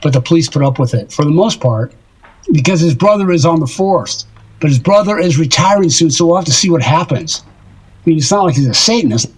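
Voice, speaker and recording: An actor playing a lovesick, self-deprecating older married man in an audio drama, rambling wistfully and haltingly in a husky voice. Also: a little high, animated, low-quality recording.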